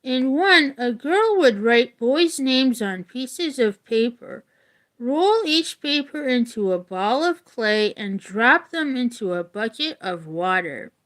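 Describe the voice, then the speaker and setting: Female teacher for read-aloud narration: unhurried, very animated intonation, storytelling delivery with informal explanatory asides. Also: a little high. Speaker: teacher reading a book aloud.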